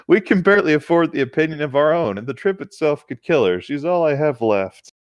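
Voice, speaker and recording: A man's voice: on the high side, animated, a little fast, dry recording, muffled recording, pitched above the performer's own voice, close to an everyday voice. A man voicing an earnest young small-town woman in a story, pleading with some melodrama.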